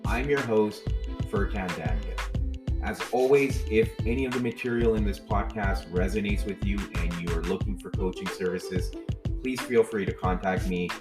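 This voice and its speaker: A man's voice: fairly low pitch, moderate pace, loud music behind the voice. A man hosting a podcast and life coaching, reading an earnest intro and then asking questions with many fillers.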